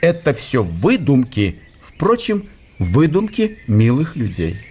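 A man narrating a literary radio drama in an expressive, wry storytelling manner. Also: mid-range voice, slow pace, breathy edge.

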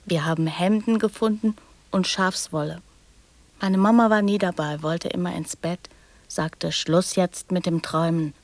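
A woman's voice: on the low side, fairly even intonation, a little slow, darkish tone, no room sound. An actress playing a young girl in a radio drama, prattling and teasing in childlike fragments that often drop to whispers. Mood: dreamy recounting.

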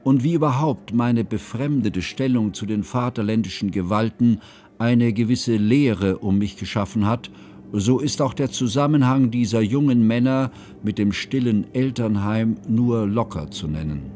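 An actor playing an ageing scholarly schoolmaster who narrates a radio drama in a measured, pedantic, ornate way. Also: male voice, medium pitch, warm tone, slight background noise, close to an everyday voice. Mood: reflective, confessional. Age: older. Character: ageing scholarly schoolmaster, humane, ceremonious, reflective. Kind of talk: literary first-person radio drama narration.

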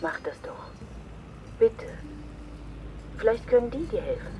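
Radio drama: reluctant, busy woman, dark mellow tone, faint outdoor noise. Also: on the high side, very animated, unhurried. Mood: evasive, concerned. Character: evasive, busy woman gently putting off a man.

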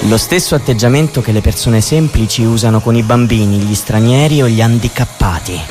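A man's voice: medium pitch, fairly quick, close to an everyday voice. An actor playing a young man who speaks after his death, giving an impassioned, declamatory theatrical monologue. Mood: bitter, accusing. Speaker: actor in a public drama. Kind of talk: theatrical monologue over music. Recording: music behind the voice.

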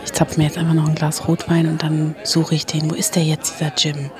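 An actress playing a self-doubting radio journalist, talking to herself in a naturalistic, tentative way. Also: female voice, deep voice, monotone, a little fast, a crowd in the background, close to an everyday voice. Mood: distracted, musing. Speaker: radio play protagonist, reporter. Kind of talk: naturalistic radio drama monologue.

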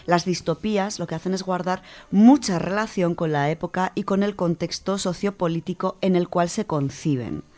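Low-voiced woman explaining on radio. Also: moderate pace, hardly any pauses, a little mellow. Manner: thoughtful, with frequent hesitations and restarts. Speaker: radio host presenting a book segment.

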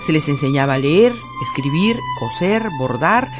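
Woman narrating over clear music. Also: low-pitched, lively intonation, normal speed. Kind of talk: scripted educational radio narration.

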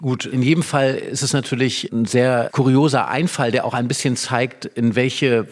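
A man with a mid-pitched voice speaks as a guest in a literary discussion. He explains analytically and with emphasis, often setting up rhetorical questions as he develops his thought. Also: steady tone, moderate pace.

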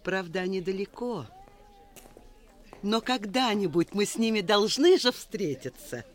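An actress playing a spirited, plain-spoken village wife in a 1960s radio drama. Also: female voice, medium pitch, animated, measured pace, natural voice. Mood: wistful.

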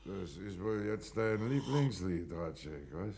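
Low-voiced teasing drinking companion. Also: male voice, expressive, unhurried, gravelly, darkish tone, a little hiss or hum, full character voice. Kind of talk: German radio play acting.

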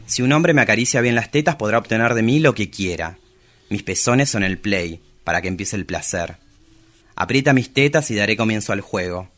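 Guy acting a travesti poet in a radio play, low voice, sensual, confessional, longing. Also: lively intonation, fairly quick, a bit bright. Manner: confessional, seductive. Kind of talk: radio drama monologue.

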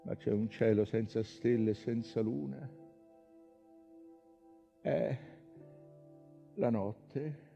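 Slow, gravelly, wistful sailor's son. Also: male voice, mid-range voice, warm tone. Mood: sombre, haunted. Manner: hesitant, dreamy, reflective. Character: wistful, haunted sailor's son recalling his childhood. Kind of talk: intimate theatrical monologue. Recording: dull lo-fi sound, faint music.

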